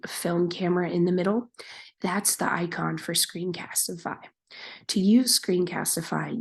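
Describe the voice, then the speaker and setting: Sick teacher lady, voice all raspy and breathy, kinda low and flat, explaining stuff step by step. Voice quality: raspy, breathy, half-whispered. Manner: apologetic, patient, step-by-step. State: hoarse from being sick, voice raspy. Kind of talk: recorded class instructions.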